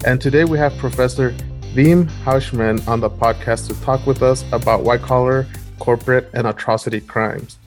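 Flat-voiced man reading an intro. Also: mid-range voice, moderate pace, soft background music. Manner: even, reading a formal bio. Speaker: podcast co-host. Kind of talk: scripted guest introduction.

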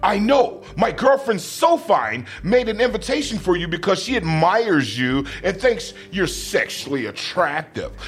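Boastful, scheming action-parody villain, played by a man; high voice, very animated, melodramatic declaiming. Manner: melodramatic, declaiming, explains his schemes at length. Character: boastful, scheming male action-movie villain, Paul Marine. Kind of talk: amateur comedic dramatic script reading.

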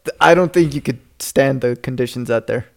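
A man's voice: mid-range voice, brisk, creaky. A young man co-hosting a podcast, teasing his friend and laughing often in casual chat. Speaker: podcast co-host.

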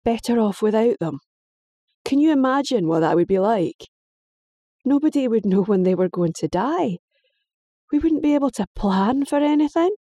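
A woman's voice: a little high, animated, normal speed, warm tone, no room sound, voice pushed up. A woman voicing a stern, weary middle-aged father, lecturing patiently but firmly.

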